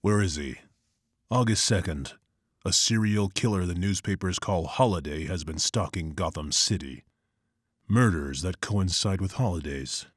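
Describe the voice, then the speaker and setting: Guy doing a dark brooding vigilante, low husky voice, clipped and grim. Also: no room sound, clean studio sound, exaggerated voice. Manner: terse, clipped and somber. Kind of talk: comic narration.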